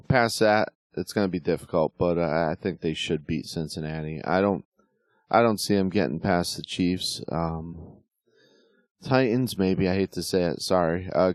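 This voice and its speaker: A middle-aged man hosting a casual sports talk show, slightly hoarse, rambling with lots of fillers and restarts. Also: deep voice, darkish tone, no echo, clean studio sound. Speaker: podcast host.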